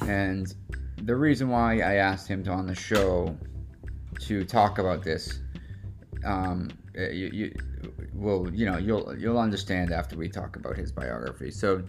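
Low, creaky male podcast host. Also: measured pace. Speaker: podcast host interviewing his father. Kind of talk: casual conversational interview. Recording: music playing behind the voice.

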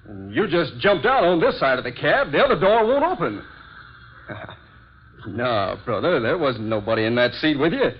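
An actor playing a chatty working-class cab driver, colloquial and incredulous, in 1940s radio drama style. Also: male voice, on the high side, normal speed, slightly rough. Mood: incredulous, amused. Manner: colloquial, folksy, excitable. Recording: muffled noisy vintage radio recording.

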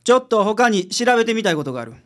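A woman playing a gruff, laid-back male private detective with a Kansai accent in a radio drama. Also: mid-range voice, very animated, rapid-fire, fairly bright tone. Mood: offhand.

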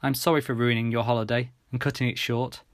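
A man's voice: mid-range voice, flat delivery, fast talker, no room sound, clean studio sound, natural voice. A man voicing an earnest, emotional young boy in an audiobook story. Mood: apologetic.

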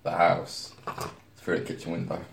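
A man's voice: low-pitched, monotone, moderate pace, vocal fry. A man, telling a story in a laid-back casual chat and laughing along. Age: 20s. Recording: echoey room, faint noise.

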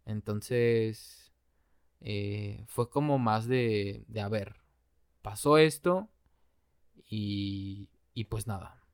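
A young man from Mexico with a Mexican Spanish accent, talking in a casual chat, slangy and quick to laugh, then slow and thoughtful. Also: lowish voice, clear, resonant tone, no room sound, clean studio sound. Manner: slangy, laughs often, turns reflective and slow when talking about his breakup.

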